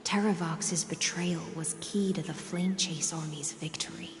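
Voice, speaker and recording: A woman playing a composed, solemn teller of ancient history in a dramatic video game, measured and cool in delivery. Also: sits low, steady tone, a little hiss or hum. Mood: solemn, explaining. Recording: long cavernous reverb, music behind.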